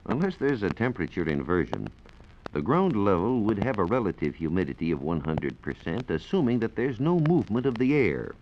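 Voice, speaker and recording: A man explaining science in a measured, precise way as a reporter on a 1940s radio program. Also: fairly low pitch, sing-song. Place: radio studio. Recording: dull, noisy 1940s radio recording.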